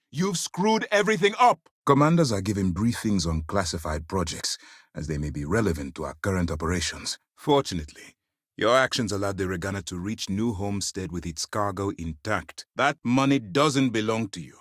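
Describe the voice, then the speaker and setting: A man playing a stern, principled space navy commander in a video game, speaking in a formal, measured, authoritative way. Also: on the low side, no echo, studio quality. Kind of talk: scripted game dialogue.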